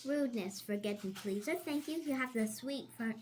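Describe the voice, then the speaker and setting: High-voiced woman reading along haltingly. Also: a little mellow, breathy edge. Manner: halting word-by-word reading, playful reactions. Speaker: co-storyteller. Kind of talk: informal read-along with interjections.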